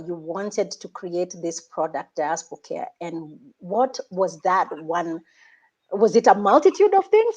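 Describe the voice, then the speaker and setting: Unhurried woman hosting an enthusiastic interview. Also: fairly low pitch, darkish tone, highs cut off. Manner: effusive, enthusiastic. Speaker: talk show host. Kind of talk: conversational interviewing.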